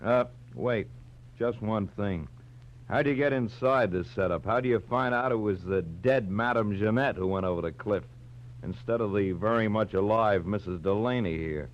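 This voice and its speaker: An American actor playing a plain-spoken, inquisitive police detective lieutenant in a 1940s radio drama. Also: male voice, on the low side, normal speed, natural voice. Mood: matter-of-fact, curious. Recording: muffled noisy vintage radio recording with hum.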